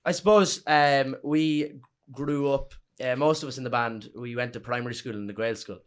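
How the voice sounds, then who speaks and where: Young Irish man, loose and animated. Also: mid-range voice, normal speed. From Ireland. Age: young adult. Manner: loose, animated, swears freely. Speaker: band member guest. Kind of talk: casual chat.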